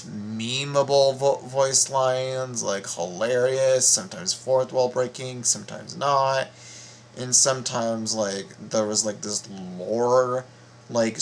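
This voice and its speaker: Tired guy doing a reaction video, slow and halting, keeps repeating words, sounds half asleep. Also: medium pitch, a bit bright, slight background noise. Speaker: video host reacting to game voice lines. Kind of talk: casual reaction commentary.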